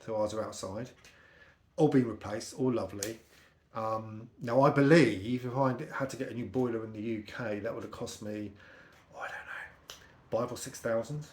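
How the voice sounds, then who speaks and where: A middle-aged British man with a slightly croaky voice, chatting casually and telling personal anecdotes in a rambling, conversational way. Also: on the low side, fairly even intonation, moderate pace, roomy sound, slight background noise. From United Kingdom. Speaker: vlogger.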